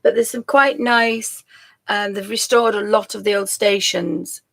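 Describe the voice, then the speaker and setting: Croaky, gravelly woman chatting on stream. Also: mid-range voice, steady tone, measured pace, a bit bright. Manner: chatty, rambling, often laughing and trailing off. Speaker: live-stream host. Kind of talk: casual live-stream chat.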